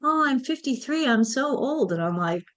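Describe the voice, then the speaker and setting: A woman's voice: sits high, expressive, normal speed, a bit bright. A woman, hosting a motivational solo podcast and speaking to listeners directly in an encouraging way. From United States. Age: 50s. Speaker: podcast host and menopause mentor.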